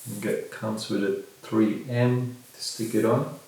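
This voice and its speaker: Really echoey room, aussie guy middle aged doing a casual unboxing, gravelly voice, mic kinda far off. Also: lowish voice, flat delivery, unhurried, a little mellow. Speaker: product reviewer. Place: small bare indoor room at a table. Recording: very echoey room, distant mic, faint noise.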